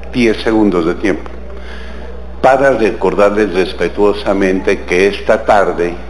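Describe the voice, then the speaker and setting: An older man with a Colombian accent, a senator making courteous, deliberate formal remarks in a committee session. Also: low voice, a little hiss or hum. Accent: Colombian Spanish. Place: large legislative chamber. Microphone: desk gooseneck microphone. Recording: roomy chamber with faint ambience.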